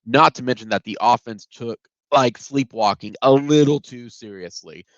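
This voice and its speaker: A man's voice: medium pitch, normal speed, no echo, highs cut off. A man with a head cold, sounding stuffed up, giving a casual solo recap of a football game with lots of ums and restarts. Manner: conversational, many ums and restarts. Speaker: sports podcast host.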